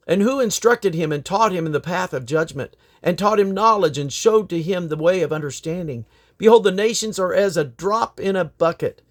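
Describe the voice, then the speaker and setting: A man with a fairly high-set voice reads scripture aloud. His delivery is solemn and declamatory, and he leans on key phrases with emphatic rises and falls. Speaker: Bible reader. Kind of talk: scripture reading aloud from the King James Bible.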